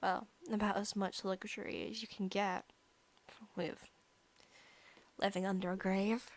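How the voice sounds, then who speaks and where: A woman playing a possessive, sadistic young vampire in a softly spoken ASMR roleplay, teasing and casually menacing, at times slipping into whispers. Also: medium pitch, little pitch movement, unhurried, lightly acted. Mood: sweetly smug.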